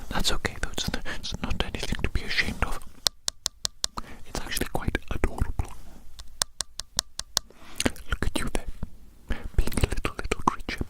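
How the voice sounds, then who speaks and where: A person whispering an ASMR roleplay in a breathy, unvoiced whisper, fussy and methodical, often repeating phrases softly. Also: moderate pace, pauses often, rough recording. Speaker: ASMR performer playing an observer measuring a little creature.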